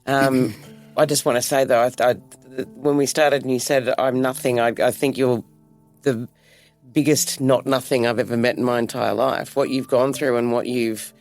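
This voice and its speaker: A man co-hosting a podcast, speaking sincerely and reading a measured closing message. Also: medium pitch, level tone, a little mellow, faint music behind. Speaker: podcast co-host. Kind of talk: warm-toned interview remarks and scripted outro.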